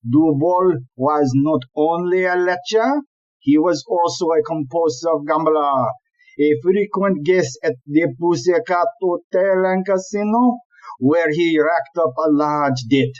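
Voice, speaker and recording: An actor playing an exasperated, blustering chief police inspector in a comic audio play, with an emphatic, deliberate, ranting delivery. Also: male voice, on the high side, a little mellow, dull audio. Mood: indignant explaining.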